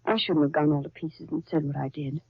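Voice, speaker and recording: Husky, anxious young bride-to-be, old radio. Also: female voice, sits low, steady tone, dry recording, full character voice. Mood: anxious, apologetic. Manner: emotional, halting, earnest. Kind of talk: 1930s radio soap acting. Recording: dull, lo-fi 1930s radio recording.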